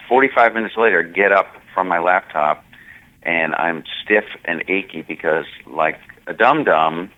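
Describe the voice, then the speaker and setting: A man calling in by phone to his podcast, chatting in an upbeat, jokey way. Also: low-pitched. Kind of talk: casual chat. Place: hotel, away on business. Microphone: phone call. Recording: tinny phone line.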